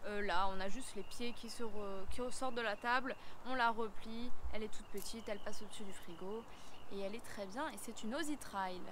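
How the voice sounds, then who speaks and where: Woman chatting outdoors over clear noise. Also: medium pitch, a little fast. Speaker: travel vlogger. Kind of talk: casual vlog chat. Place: outdoors in bush by a car.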